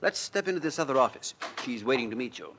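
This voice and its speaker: An actor playing a poised, cultured insurance executive in a 1940s radio drama. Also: male voice, mid-range voice, expressive, quick pace, heavy background noise, close to an everyday voice. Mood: businesslike. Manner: polished, businesslike, to the point.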